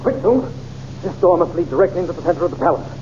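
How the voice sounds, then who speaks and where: An actor playing an earnest young hero from an old radio serial, with a slightly raised voice and a warm, relaxed tone. He sounds determined and straightforward. The recording is muffled and lo-fi. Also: fairly high pitch, rapid-fire, slight background noise, lightly acted. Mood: tense. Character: brave young male Earth hero. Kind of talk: 1930s radio serial acting.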